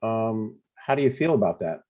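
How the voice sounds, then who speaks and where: A man's voice: on the low side, animated, normal speed, mellow, lightly acted. An older man playing a blunt, stubborn but affectionate father in a comedy table read, over dull stream audio. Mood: mild, curious. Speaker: amateur actor.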